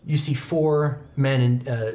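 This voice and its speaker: A man in his twenties improvising story narration as a game master, descriptive and halting. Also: mid-range voice, fairly even intonation, darkish tone. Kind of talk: improvised tabletop roleplay narration. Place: indoor studio set.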